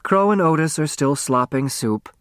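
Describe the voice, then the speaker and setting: Man reading as a brisk, reassuring woman lawyer. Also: a little high, lively intonation, smooth, no room sound, studio quality, pitched above the performer's own voice. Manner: matter-of-fact, gently reassuring.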